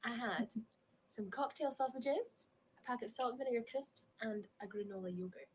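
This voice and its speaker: A woman's voice: on the high side, moderate pace, slight background noise. A woman chatting casually backstage on a singer's vlog, in a matter-of-fact way.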